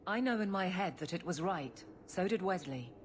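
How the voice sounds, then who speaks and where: A woman's voice: fairly low pitch, lively intonation, moderate pace, slight background noise, natural voice. A woman voicing a steadfast, blunt guardswoman in a video game, speaking in an earnest, plain, firm way. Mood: somber, resolute.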